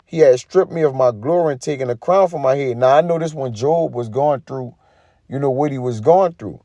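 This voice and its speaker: A man's voice: mid-range voice, fairly quick, dark tone, dry recording, clean studio sound. A man giving an informal sermon, reading scripture and commenting in an emphatic, colloquial way with frequent tag questions. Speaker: preacher.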